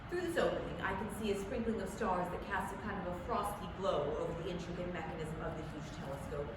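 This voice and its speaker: A woman's voice: fairly low pitch, fast, lightly acted. A woman playing an earnest, composed male radio news commentator in a staged reading of an old radio drama. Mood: composed, formal. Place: staged reading in a public library room. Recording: echoey hall from far off, noisy.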